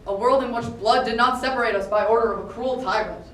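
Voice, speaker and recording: A woman's voice: on the high side, natural voice. Woman playing an earnest troll martyr, echoey room. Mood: tender, grateful. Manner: earnest, oratorical, measured. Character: idealistic male troll martyr, gentle revolutionary preacher. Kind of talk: solemn theatrical monologue. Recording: echoey convention room, distant mic, noisy.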